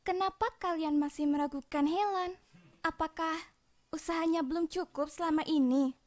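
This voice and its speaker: A woman plays a loyal young heroine from a comic dub. Her voice is very high and earnest, that of someone who believes firmly in her friend. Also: steady tone, normal speed. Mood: indignant, earnest. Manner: earnest, pleading.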